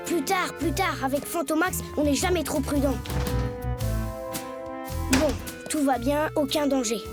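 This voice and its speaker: A high-pitched, self-important cartoon boy, playing the great detective. He speaks in precise, deliberate, pompous phrases over prominent music. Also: fairly even intonation. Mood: wary. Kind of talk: French animated series dialogue.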